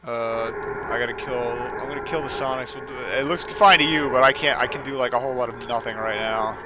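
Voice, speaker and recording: Male gaming host, mid-pitched, wry and self-mocking, casual play-by-play with theatrical reading; noticeable background noise. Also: fairly quick. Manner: sarcastic, self-deprecating asides. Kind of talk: casual gameplay commentary mixed with mock dramatic story reading.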